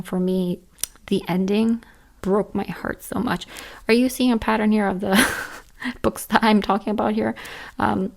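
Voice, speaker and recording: Creaky, hoarse woman chatting casually. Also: medium pitch, a little mellow. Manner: earnest, emphatic, repeats words. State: hoarse, tired voice. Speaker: book reviewer, channel host. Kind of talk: casual list-style book and manga chat.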